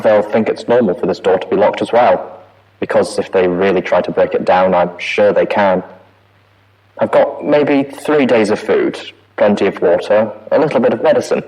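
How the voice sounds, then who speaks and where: A man's voice: low voice, a little mellow, poor audio. A man voicing a frightened male prison staff pony, trapped and pleading, heard as a recorded audio log. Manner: fearful, pleading, rising to panic. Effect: audio log playback.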